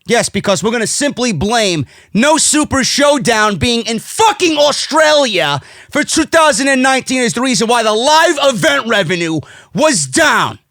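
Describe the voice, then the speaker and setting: A man's voice: very high voice, sing-song, normal speed, bright, forceful tone, no echo, clean studio sound. A man with a New York accent delivering a brash, profane, indignant rant as an opinionated wrestling commentary host, hammering his points with emphasis. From United States.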